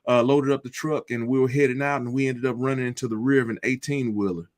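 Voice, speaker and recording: A man speaking as an interview guest, telling his story in an earnest, deliberate and reflective way. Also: mid-range voice, steady tone. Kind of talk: personal testimony in an interview.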